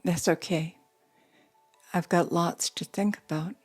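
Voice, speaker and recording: An actress playing a composed, guarded young widow who runs a steel mill, speaking in a measured, matter-of-fact way in an audio drama. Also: female voice, on the low side, steady tone, slightly rough, faint music behind. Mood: probing, calm.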